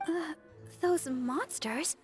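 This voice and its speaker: Game role: young cleric girl, high sweet voice, earnest and polite, music underneath. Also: very slow. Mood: hesitant, concerned. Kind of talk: anime-style video game voice acting. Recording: music in the background.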